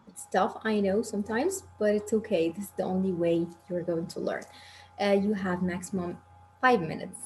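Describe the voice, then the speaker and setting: Woman, English teacher from Egypt, medium-pitched, informal, encouraging, reassuring, online class, slight background noise and hum. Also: dark tone, low-quality recording.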